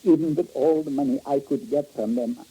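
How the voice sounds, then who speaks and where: Very husky, wheedling greedy rogue. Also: male voice, medium pitch, mellow, poor audio. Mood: confessing, pleading. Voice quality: husky, breathy. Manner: halting, pleading, ingratiating. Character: wheedling, greedy, melodramatic foreign male rogue. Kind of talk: old-time radio drama acting. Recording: old radio recording with faint music.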